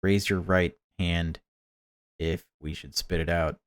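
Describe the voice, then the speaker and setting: A man's voice: deep voice, steady tone, takes it slowly. A man hosting a gaming video, joking around and swearing casually in rambling let's play commentary.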